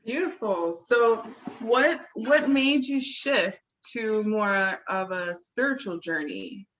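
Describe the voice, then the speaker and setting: Slow bright-voiced woman host. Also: mid-range voice, lively intonation. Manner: slow and affectionate, calls guest sister. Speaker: interview host. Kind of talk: casual interview hosting. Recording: dull lo-fi sound.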